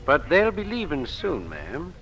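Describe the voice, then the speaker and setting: An actor playing a frightened, deferential house servant with folksy dialect in old radio acting. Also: male voice, fairly high pitch, huge pitch swings, measured pace, a bit bright, faint music behind, heavily acted, rough recording.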